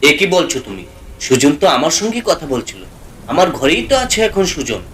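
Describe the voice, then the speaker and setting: A man's voice: on the high side, sing-song, fairly quick, a bit bright, a little hiss or hum, poor audio. A man voicing a tired, kindly village doctor in a cartoon ghost story, full of questions and exclamations. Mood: disbelieving, alarmed. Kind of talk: cartoon horror story acting.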